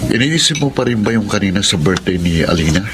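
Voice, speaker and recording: An actor playing a devoted, lovestruck best friend in a Filipino melodrama, pleading earnestly and tenderly with a Filipino accent. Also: male voice, lowish voice, expressive, fast talker, music in the background, lightly acted. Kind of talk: Filipino radio-style melodrama acting.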